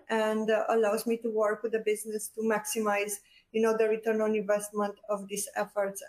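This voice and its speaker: A woman's voice: fairly high pitch, darkish tone, low-quality recording. A woman finance executive introducing herself on a webinar panel, hesitant, with many fillers.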